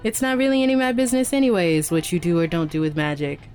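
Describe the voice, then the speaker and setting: Woman playing a low-voiced, easygoing young coworker. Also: level tone, fast, darkish tone, faint music behind, close to an everyday voice. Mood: friendly. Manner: casual, chatty, hesitant. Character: friendly, easygoing, slightly shy young coworker. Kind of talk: audio drama acting.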